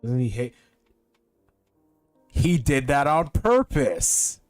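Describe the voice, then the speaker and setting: A man giving casual, sweary, excitable reaction commentary as a gaming streamer. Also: medium pitch, takes it slowly, no room sound, faint music behind.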